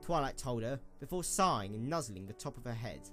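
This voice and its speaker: A man narrating a scripted story as an expressive storyteller for an audio drama. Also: medium pitch, brisk, faint music behind.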